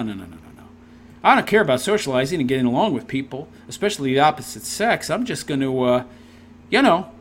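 A man's voice: a little high, a little hiss or hum. A man reading a text aloud and tearing into it with sarcastic, scornful commentary. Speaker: commentary video host.

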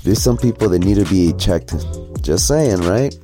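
Blunt low-voiced man over music. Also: animated, normal speed, dark tone. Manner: blunt, sarcastic, profane. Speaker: commentary vlogger. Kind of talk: casual opinionated monologue commentary.

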